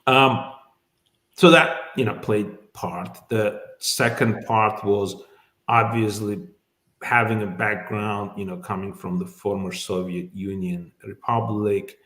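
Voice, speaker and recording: Middle-aged man from Azerbaijan, low-pitched. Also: fairly even intonation, slow, a bit bright, echoey room. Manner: thoughtful, many fillers and restarts. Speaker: immigration lawyer, guest. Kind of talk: casual interview.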